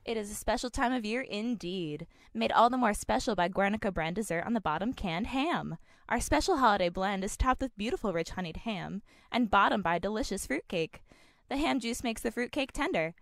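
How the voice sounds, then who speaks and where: A woman's voice: medium pitch, expressive, fairly quick, dry recording, clean studio sound, natural voice. A woman playing an earnest old-time radio sponsor announcer, reading a parody commercial in polished sales patter. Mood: sincere selling. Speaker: advertising announcer.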